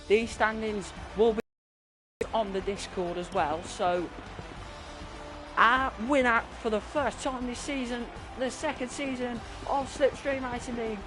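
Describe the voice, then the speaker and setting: A man commentating a sim racing league with excitement, his voice strained and fading. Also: poor audio. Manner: excitable, voice climbing high at big moments. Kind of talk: excited live race commentary. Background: music.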